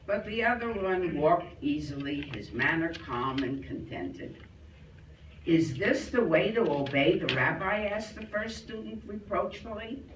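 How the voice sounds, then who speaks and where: A woman reading a humorous tale aloud at a live reader's theater, recorded from a distance in a roomy hall. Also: fairly low pitch. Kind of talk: joke storytelling, reading aloud. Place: clubhouse hall, live performance. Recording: roomy hall, distant mic, faint noise.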